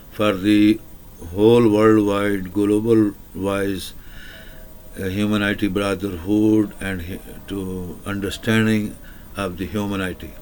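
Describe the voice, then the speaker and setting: Man, Urdu speaker, lowish voice, monotone, slow, halting monologue with lots of uhs. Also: slight background noise. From Pakistan. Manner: hesitant, many filler sounds and restarts, repetitive phrasing. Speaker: channel host. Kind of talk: rambling solo monologue.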